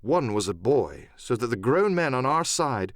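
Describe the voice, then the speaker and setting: Animated male audiobook narrator. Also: medium pitch, moderate pace, dry recording, studio quality. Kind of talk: dramatic scripted story narration.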